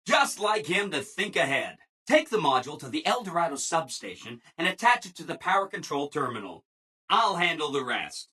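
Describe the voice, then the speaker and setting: Game robot assistant: bright, very animated, ingratiating, relentlessly upbeat people-pleaser. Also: male voice, a little high, breathy edge, exaggerated voice. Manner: chipper, ingratiating, emphatic, apologetic people-pleaser. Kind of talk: scripted video game dialogue.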